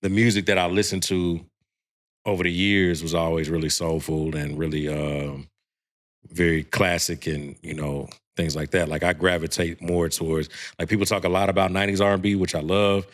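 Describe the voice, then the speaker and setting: Guy with a real low heavy baritone, laid back, rambles with lots of "like". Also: flat delivery, moderate pace. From Houston, Texas. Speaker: guest singer. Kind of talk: casual podcast chat.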